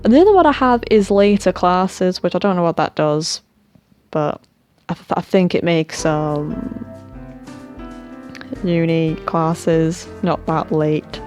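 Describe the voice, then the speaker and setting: A woman's voice: fairly low pitch, takes it slowly, long pauses, a little mellow. Woman rambling, tired voice, faint music behind. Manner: chatty, self-deprecating, trails off into fillers. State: voice tiring, throat sore. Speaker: gaming channel host. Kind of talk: rambling casual commentary.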